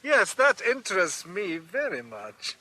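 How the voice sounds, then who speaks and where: An actor playing a courteous but uneasy Italian prince, polite and halting, with an Italian accent in a radio drama. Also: male voice, high-pitched, huge pitch swings, bright, forceful tone, heavily acted, poor audio.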